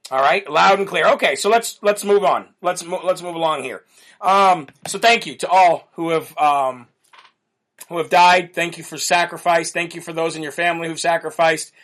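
A man hosting a live online show, speaking casually with a loud voice, rambling through fillers and restarts while reading viewer comments off a computer mic. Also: fairly high pitch, steady tone, moderate pace. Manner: self-described loud voice. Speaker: host of a live political show.

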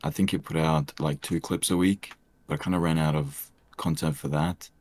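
A young man with a soft, soothing voice, chatting hesitantly as a podcast guest with many fillers. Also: extremely low pitch, steady tone, normal speed. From Australia. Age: young adult. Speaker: streamer guest. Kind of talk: casual podcast chat.

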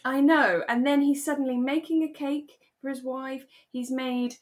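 A woman's voice: high-pitched, steady tone, normal speed. Woman podcast host, kinda giggly and gushing, just chatting away casually. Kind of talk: casual chat about a radio drama.

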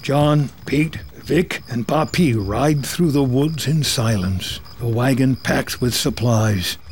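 A man reading scripted screenplay narration in the present tense, in a measured storytelling manner. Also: medium pitch, a little hiss or hum.

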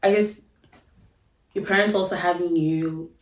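A woman's voice: lowish voice, fairly even intonation, unhurried, distant mic. A young woman guest on a podcast, chatting casually and filling her talk with likes. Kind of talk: casual chat. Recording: roomy echo, off mic.

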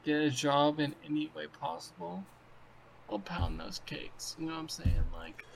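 A man's voice: a little high, sing-song, moderate pace, vocal fry, heavy background noise. A man in his twenties in crude, rambling casual chat on a video call, heavy with fillers and profanity. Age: 20s. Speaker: podcast co-host.

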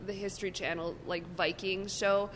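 A woman's voice: medium pitch, fairly even intonation, moderate pace, a bit bright, long reverb tail, a little hiss or hum. A woman in casual chat, filling her sentences with "like". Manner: chatty, many "like" fillers.

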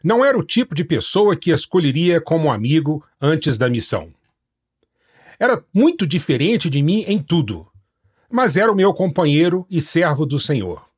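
A man with a fairly high, husky voice and a lively pitch. He speaks earnestly and frankly about his time as a missionary guest. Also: moderate pace. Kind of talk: personal storytelling.

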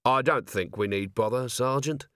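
A man voicing a brisk city watch commander in an audiobook, curt, dry and authoritative. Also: medium pitch, a bit bright, no room sound, close to an everyday voice. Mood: resigned.

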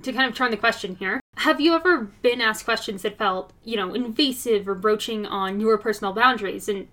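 A woman's voice: fairly high pitch, brisk, a bit bright. A woman interviewing a guest in a conversational way, asking prepared questions. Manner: asks questions, reads them out.

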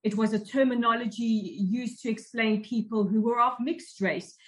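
South african woman, higher voice, talking slow and reflective about growing up, lots of restarts. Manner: measured, reflective, with frequent restarts. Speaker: guest. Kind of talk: personal recollection in an interview.

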